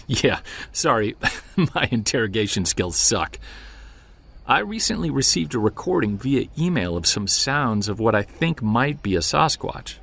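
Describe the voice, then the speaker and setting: A man's voice: mid-range voice, sing-song, measured pace, creaky, a bit bright, full character voice. A man playing an earnest, wry, self-deprecating amateur monster hunter in a naturalistic audio drama. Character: driven, self-deprecating male amateur Bigfoot investigator.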